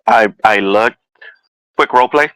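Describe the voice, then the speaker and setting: A man's voice: fairly low pitch, a little slow, sharp tone, smooth, no room sound. A man taking part in a tabletop role-playing game as a player, improvising casually in character.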